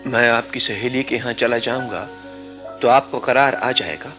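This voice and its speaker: A man acting a stoic, earnest young man in a radio drama, speaking in a measured, philosophical way. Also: medium pitch, over music, lightly acted. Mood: restrained.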